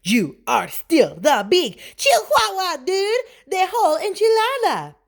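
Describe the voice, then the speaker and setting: A woman voicing an excitable little kitten boy with theatrical exclamations and a put-on Spanish accent, in a storybook. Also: very high-pitched, takes it slowly, creaky, pitched above the performer's own voice, full character voice. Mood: excited.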